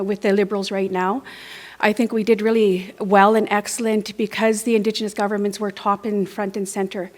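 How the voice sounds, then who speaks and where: Halting northern Canadian woman making a campaign speech. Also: medium pitch. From Northwest Territories, Canada. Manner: halting, repeats words while searching for phrasing. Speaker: political candidate, former legislator. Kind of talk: unscripted campaign speech at a forum.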